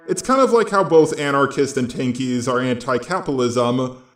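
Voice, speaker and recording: A synthetic robot male voice reading a scripted video essay narration in a flat, mechanical text-to-speech delivery. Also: medium pitch, moderate pace, echoey room.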